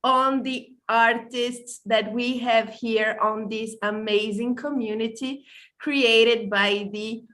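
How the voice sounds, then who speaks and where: A woman from Brazil, speaking English as a second language, hosts a casual online podcast over a video call. She talks slowly in a fairly high voice, effusive and a little halting, pausing to correct herself. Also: fairly even intonation. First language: not English. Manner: warm, effusive, stumbles and apologizes often. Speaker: podcast host. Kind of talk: casual online livestream hosting.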